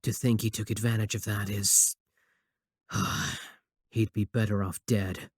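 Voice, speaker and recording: A man's voice: lowish voice, little pitch movement, a little slow, a little mellow, breathy edge, no room sound, studio quality. A young man acting a possessive, obsessive student admirer in a scripted ASMR roleplay, sweet yet menacing. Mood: simmering anger.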